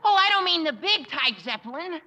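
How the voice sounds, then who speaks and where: A smart-mouthed teenage boy in an old radio comedy. The voice sits very high and bright, jumping lively in pitch with a faint hiss behind. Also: a little fast, exaggerated voice. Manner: cocky, wisecracking. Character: wisecracking teenage boy student editor. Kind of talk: 1950s radio sitcom acting.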